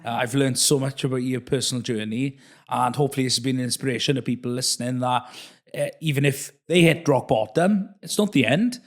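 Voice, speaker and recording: Man hosting a relaxed chat. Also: medium pitch, a little fast. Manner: friendly, hesitant with frequent uh fillers. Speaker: podcast host. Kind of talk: casual interview chat.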